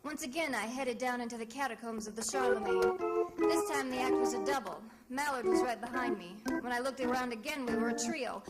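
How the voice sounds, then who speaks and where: An actress playing a tough, wisecracking female private detective in an old-time radio drama, brisk and sardonic. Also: on the high side, over loud music, lightly acted, low-quality recording. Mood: wry narration. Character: wry, tough female private detective narrating her case. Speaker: radio drama lead and narrator.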